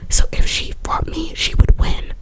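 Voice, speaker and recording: A woman whispering a casual story-time in an ASMR style, chatty and full of filler words and restarts. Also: measured pace. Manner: whispering throughout.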